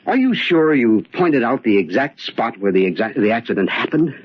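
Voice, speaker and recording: An actor playing an earnest, studious nephew in a radio drama, sober and questioning with a husky voice. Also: male voice, mid-range voice, very animated, normal speed. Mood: concerned, sober. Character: earnest, troubled nephew, a studious young man. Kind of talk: old-time radio drama acting. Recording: dull, lo-fi radio drama recording.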